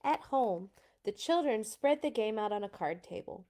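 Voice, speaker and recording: A woman narrating a children's storybook aloud in an expressive read-along style. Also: on the high side, normal speed.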